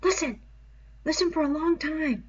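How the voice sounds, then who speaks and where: A woman's voice: high-pitched, moderate pace, highs cut off, pitched above the performer's own voice, close to an everyday voice. A woman voicing an anxious, whiny young schoolboy, pleading and indignant, in a dramatized novel read-aloud. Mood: frightened urgency. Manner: pleading, insistent, ungrammatical schoolboy speech.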